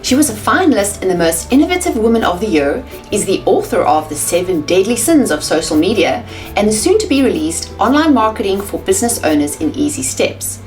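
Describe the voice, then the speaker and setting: A woman's voice: mid-range voice, very animated, brisk, a little mellow, soft background music. A woman from South Africa with a South African accent, hosting an interview in an enthusiastic, chatty way and laughing often. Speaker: host.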